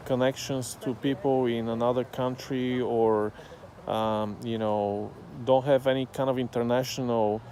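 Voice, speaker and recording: A man from Bulgaria whose first language is Bulgarian, talking casually and thoughtfully with many pauses and fillers. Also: mid-range voice, noisy background. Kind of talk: casual talk to camera.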